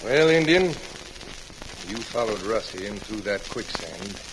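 A man playing a rough, menacing outlaw gang leader in an old-time radio western, loud and gloating. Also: on the low side, animated, measured pace. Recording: hissy, crackly old radio recording.

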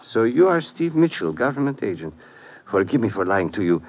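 Swooping, cocky house detective. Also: male voice, fairly low pitch, very animated, a little fast, highs cut off. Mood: taunting. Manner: taunting, stilted, self-important. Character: cocky, menacing hotel house detective, slightly unhinged. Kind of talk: old-time radio drama acting.

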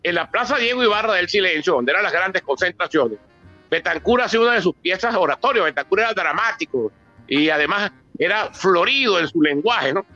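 Older male, Venezuelan accent, bright forceful delivery, oratorical book-talk speaker, dull recording with music behind. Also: sits high, animated, brisk. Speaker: author and politician presenting his book. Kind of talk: impassioned public talk on political history.

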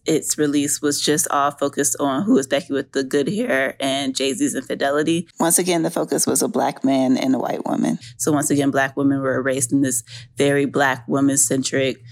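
A woman's voice: very deep voice, fairly even intonation, moderate pace. Earnest woman reflecting in a listener message.

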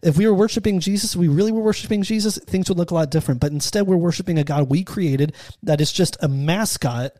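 Earnest guy on a faith podcast, kinda high voice, lots of restarts. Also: fast, few pauses, mellow, no echo. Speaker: podcast co-host. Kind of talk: casual faith podcast chat.

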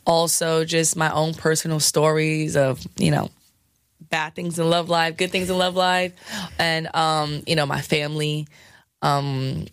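A woman DJ with a scratchy, hoarse voice, chatting frankly in an interview with lots of fillers and laughs. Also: deep voice, little pitch movement, measured pace, no room sound, studio quality. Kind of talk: candid casual interview talk.

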